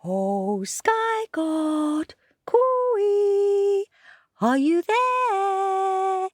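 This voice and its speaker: A woman voicing a small, clever young male rabbit, eager and squeaky. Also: very animated, extremely slow, no echo, studio quality, full character voice.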